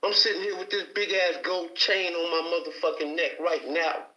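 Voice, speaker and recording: A man's voice: fairly high pitch, steady tone, normal speed, pushed and bright, roomy sound, highs cut off. A man from Detroit giving an emphatic, preacher-like testimony in a heated debate. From Detroit, Michigan. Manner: emphatic, preacher-like, repeats for stress. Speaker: panel guest.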